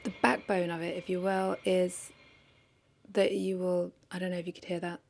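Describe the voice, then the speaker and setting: A woman's voice: lowish voice, little pitch movement, normal speed, gravelly, a little mellow. A woman talking casually in an unscripted vlog, rambling and correcting herself as she thinks aloud. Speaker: content creator, vlogger.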